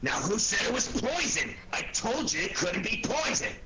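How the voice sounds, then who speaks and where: An actor playing a blustery, irritable police inspector with an American accent and a gruff, gravelly, bossy delivery in a 1940s radio drama. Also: male voice, high voice, very animated, moderate pace. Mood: defensive, irritable. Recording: noisy 1940s radio recording.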